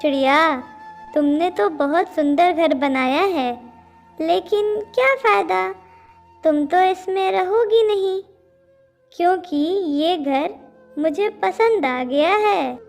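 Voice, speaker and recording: A woman's voice: very high voice, slow, creaky, soft background music, heavily acted. A woman voicing a greedy, bullying cat in a children's cartoon, mocking and gloating. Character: greedy, bullying cat who covets a bird's house. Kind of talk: Hindi children's cartoon dubbing.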